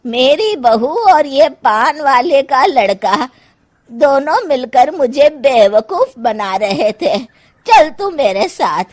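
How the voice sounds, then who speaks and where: A woman's voice: high-pitched, expressive, slow pace. A woman voicing a harried older mother-in-law in a cartoon story, speaking in a scolding, exasperated, homely way. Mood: scheming. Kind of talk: Hindi cartoon story voice acting.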